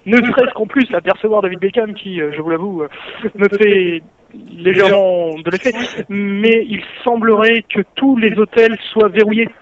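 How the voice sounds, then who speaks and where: A man on a telephone giving courteous, wordy, deadpan improvised answers. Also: high-pitched, normal speed. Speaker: prank call recipient. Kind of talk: polite improvised answers on a phone call. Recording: band-limited phone line.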